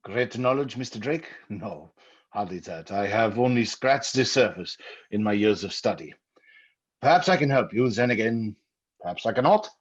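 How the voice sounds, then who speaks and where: A man playing a grave, scholarly occult doctor in old-time radio style, speaking haltingly, deliberately and ominously on a dull old recording. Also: on the low side, lively intonation, slightly rough. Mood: pensive.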